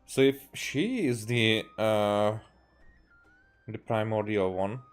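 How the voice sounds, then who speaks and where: A man from Hungary, native in Hungarian, commentates casually on a game. His voice is fairly low and very slow, halting and repeating words, with faint game music behind. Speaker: game streamer. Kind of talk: casual live gameplay commentary.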